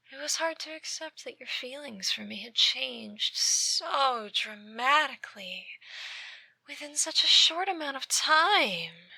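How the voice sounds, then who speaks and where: A woman plays an obsessive, possessive ex-girlfriend in a scripted ASMR drama. Her voice is very bright and sharp, recorded close and dry. She draws her words out slowly with menacing sweetness, often sinking into a breathy whisper. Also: fairly high pitch, dry recording, heavily acted. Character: obsessive yandere ex-girlfriend stalking her former lover. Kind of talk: ASMR roleplay, scripted monologue.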